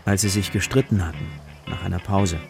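A male narrator tells a children's radio story. His voice is low and gravelly with creak. Music and insect sounds play behind him. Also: steady tone, a little fast.

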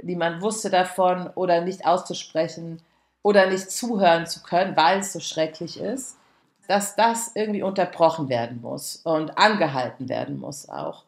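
Low-voiced woman in a roomy space. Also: expressive, normal speed. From Frankfurt, Germany. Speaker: artist, interviewee. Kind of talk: reflective interview talk. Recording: roomy echo around the voice.

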